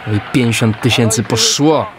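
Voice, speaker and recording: An actor playing a coarse, grumbling police detective with a gambling streak in a radio crime drama, slangy and sweary. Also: male voice, mid-range voice, normal speed, a crowd in the background, lightly acted.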